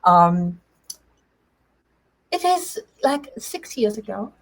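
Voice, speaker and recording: A middle-aged woman with a German accent and a slightly husky voice, telling her personal story as an interview guest in a halting, emphatic way. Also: medium pitch, big pitch swings, poor audio. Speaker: interview guest, change coach. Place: remote video call.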